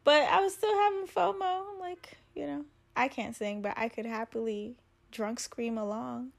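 A woman's voice: on the high side, moderate pace, gravelly. A young woman co-hosting a podcast, chatting informally, reacting and prompting with questions. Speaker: podcast co-host. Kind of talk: casual chatty podcast conversation.

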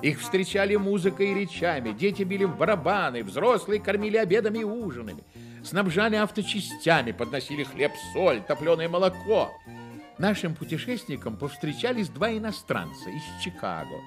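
Wry, very animated male storyteller. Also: sits high, brisk, music in the background. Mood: wry, expressive. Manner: wry, expressive, theatrical. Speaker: narrator. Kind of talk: dramatic scripted narration in a radio play.

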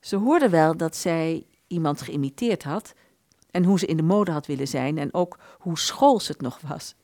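A woman narrates a literary radio play. Her voice is on the low side and very varied in melody, an expressive storyteller. The recording is close and dry. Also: unhurried, dry recording.

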